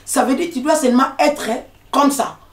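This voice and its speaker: A woman gives her own account and drives each point home by repeating it. She sits back from the microphone, and the room adds a clear echo. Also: high-pitched, fairly even intonation, brisk, distant mic. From DR Congo, raised in Angola. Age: 40s. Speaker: guest. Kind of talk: personal testimony in a discussion. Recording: echoey room, speaker off mic.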